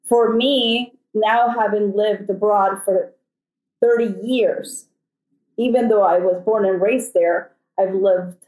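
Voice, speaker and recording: A woman's voice: medium pitch, darkish tone. A middle-aged woman from El Salvador, a podcast guest telling stories in a chatty, conversational way. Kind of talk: casual conversation. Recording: echoey room.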